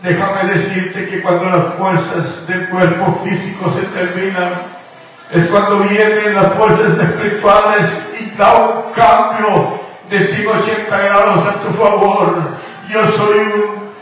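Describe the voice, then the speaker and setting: A man's voice: sits high, little pitch movement, slow, a bit bright. A man from Guatemala, preaching a radio sermon in a fervent, sing-song cadence. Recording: echoey, distant radio broadcast with faint music.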